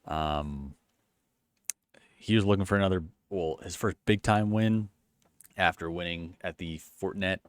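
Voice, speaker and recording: A man hosting a golf channel, giving a casual rambling recap in a flat, low-energy monotone full of fillers and restarts. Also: unhurried, pauses often, smooth, no echo.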